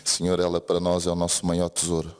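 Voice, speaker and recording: A man's voice: deep voice, completely flat delivery, fast talker, a little mellow, smooth. A man from Brazil with a Brazilian accent mixed with European Portuguese, preaching an earnest sermon and often asking the congregation for an amen. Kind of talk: church sermon. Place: church service hall. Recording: long reverb of a church hall.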